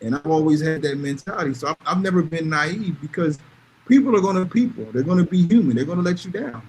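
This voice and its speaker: A man's voice: on the high side, expressive, fast, slightly rough. A man talking casually and bluntly, giving emphatic opinions and repeating phrases to drive points home. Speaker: podcast panelist.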